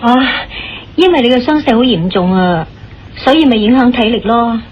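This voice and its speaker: A woman with a Hong Kong Cantonese accent playing a young, dutiful nurse in a radio drama, gentle and hesitant. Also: on the high side, animated, moderate pace, a little hiss or hum, lightly acted. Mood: caring. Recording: dull lo-fi radio drama recording. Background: music.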